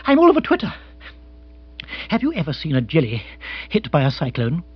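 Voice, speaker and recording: A man's voice: fairly high pitch, wildly swooping pitch, brisk, husky, highs cut off, exaggerated voice. A man voicing an agitated, petulant young English lord in a comic storybook, flustered and exclamatory in upper-class slang. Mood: agitated, panicky.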